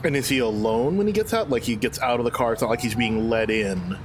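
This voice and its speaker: A man playing in a tabletop game, asking quick questions in a casual way. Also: medium pitch. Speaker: player. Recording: noisy background.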